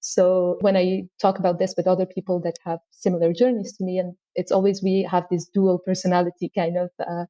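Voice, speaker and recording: A woman's voice: fairly low pitch, flat delivery, brisk, hardly any pauses, warm tone. A young woman from Afghanistan, a scientist answering interview questions thoughtfully with many fillers. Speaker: guest, PhD scientist. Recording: dull lo-fi audio.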